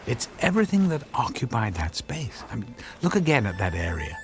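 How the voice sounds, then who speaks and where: An actor playing an earnest, anxious physicist husband in a radio drama, explaining his invention in halting, stammering phrases. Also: mid-range voice, sing-song, a little hiss or hum, lightly acted. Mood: earnest, explanatory.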